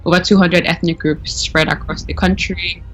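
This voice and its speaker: A woman's voice: lowish voice, steady tone, normal speed, a bit bright, faint music behind, poor audio. A young woman from Nigeria, talking casually as a caller, with lots of fillers and self-corrections. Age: young adult. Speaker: anonymous caller. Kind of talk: casual interview.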